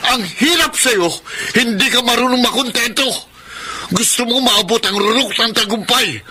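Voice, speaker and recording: A woman's voice: fairly high pitch, moderate pace, bright, forceful tone. A Filipino woman playing a bitter, accusing spouse in a radio drama. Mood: hurt, reproachful.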